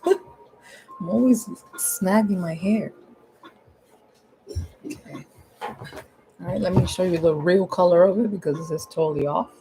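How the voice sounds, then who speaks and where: A woman with a hoarse, husky voice giving a casual, chatty step-by-step painting tutorial at home, repeating her instructions in informal language. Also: on the low side, slow pace, long pauses, music in the background, poor audio.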